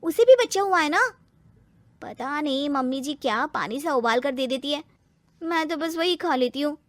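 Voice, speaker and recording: A woman plays a young cartoon daughter-in-law who feels put upon. She grumbles and whines in a very high voice that swings animatedly up and down. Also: animated, normal speed, dry recording. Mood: complaining. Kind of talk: cartoon story character acting.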